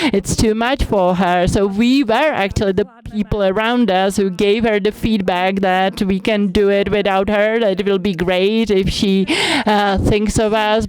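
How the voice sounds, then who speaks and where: Clear-voiced woman interpreting at a congress. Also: mid-range voice, darkish tone, low-quality recording. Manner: measured, hesitant with many fillers. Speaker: conference interpreter.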